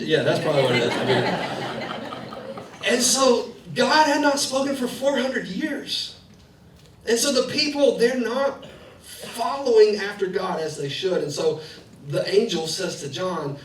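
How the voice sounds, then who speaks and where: A man in his thirties, a pastor giving a conversational sermon full of personal family stories. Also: high-pitched. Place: church sanctuary, preaching in the round. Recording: echoey hall, distant mic, faint noise.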